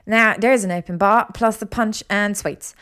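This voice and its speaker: A bubbly young witch hostess voiced by a woman narrator in an audio story. She sounds eager and gushing, pitched higher and louder than the narration. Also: fairly even intonation, moderate pace, clear, resonant tone, natural voice. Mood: excited.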